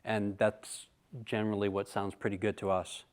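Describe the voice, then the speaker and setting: Low-voiced man explaining casually. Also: level tone. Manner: measured, hedging advice. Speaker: hi-fi showroom staff. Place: hi-fi showroom, indoors.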